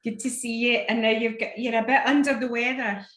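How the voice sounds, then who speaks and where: A woman's voice: a little high, fairly even intonation, a little fast, a bit bright, reverberant. A woman hosting a live online interview, encouraging her guest with short affirmations. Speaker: host. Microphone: video call.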